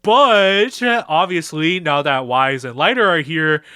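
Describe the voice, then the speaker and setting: Young male video creator, slangy and excitable, slightly high bright voice, casual fan commentary. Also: measured pace, smooth, no echo, clean studio sound. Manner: slangy, excitable, acts out characters' lines. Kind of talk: casual rambling fan commentary over gameplay.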